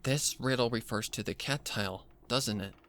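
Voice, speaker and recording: A man's voice: medium pitch, normal speed, fairly bright tone, close to an everyday voice. A man voicing an anxious but determined female pony talking earnestly to herself in a storybook reading, with noise behind him. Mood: pondering.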